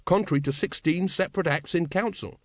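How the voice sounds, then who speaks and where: A man's voice: fairly high pitch, a little fast, no room sound, studio quality, voice pushed up, lightly acted. A man voicing a big, earnest, naive young watchman who speaks politely.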